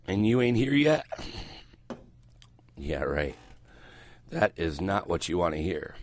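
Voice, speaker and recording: An older man hosting morning radio, his voice hoarse and croaky as he gets over a cold, rambling loosely and swearing freely into a headset mic in a small studio. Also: low voice, very animated, slow, a little hiss or hum. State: recovering from a cold, voice coming back. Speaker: radio host. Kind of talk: casual morning radio chat. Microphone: headset mic around the ear.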